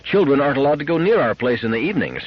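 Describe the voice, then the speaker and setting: Anxious, insistent housewife and mother, performed by a man; raised pitch, husky edge, lo-fi sound. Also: big pitch swings, racing. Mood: insistent, worried. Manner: insistent, argumentative. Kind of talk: audiobook character acting. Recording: dull lo-fi radio-like audio.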